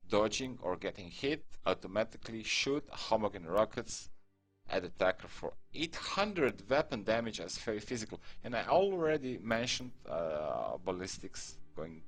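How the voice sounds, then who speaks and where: A man's voice: fairly low pitch, very animated, moderate pace, faint music behind. A man from Croatia with a Croatian accent, English not his first language, talking through a game tutorial with many fillers and restarts. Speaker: gaming tutorial creator.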